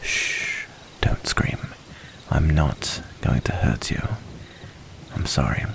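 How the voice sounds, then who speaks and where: A man playing a gentle, curious night-time stranger in an ASMR roleplay, speaking in a soothing, hushed, unhurried way. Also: rumbling low, creaky, exaggerated voice. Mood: soothing, apologetic. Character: gentle, curious male stranger lurking near the mansion.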